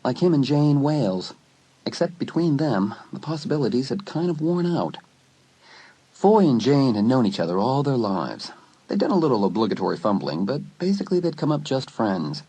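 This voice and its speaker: A man narrating a dramatised teen horror story in an expressive, dramatic storytelling manner. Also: mid-range voice, normal speed, slightly rough, poor audio. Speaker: audiobook narrator.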